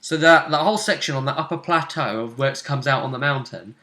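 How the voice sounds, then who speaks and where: Bright-voiced game master, male, echoey room. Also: medium pitch, quick pace. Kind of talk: narrating and recapping a tabletop role-play session. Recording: roomy, echoey indoor recording.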